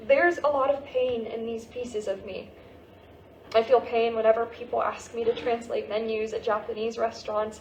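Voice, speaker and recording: In a roomy, echoing theatre with faint noise, a woman plays a teenage American girl searching for who she is. Her voice is fairly high, and she delivers an emotional stage monologue full of grief. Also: close to an everyday voice. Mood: pained.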